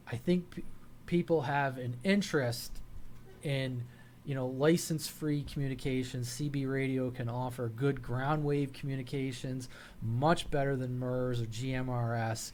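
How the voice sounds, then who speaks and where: A man's voice: mid-range voice, a little mellow. Man chatting casually over noticeable background noise. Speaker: hobby radio channel host. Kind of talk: casual vlog talk to viewers.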